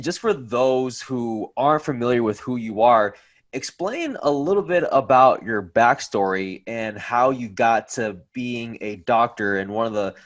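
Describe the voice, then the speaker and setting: A man hosts a podcast with an upbeat, casual delivery, with a voice of middle pitch. He asks questions loosely and often uses fillers. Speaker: podcast host. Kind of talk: casual interview hosting.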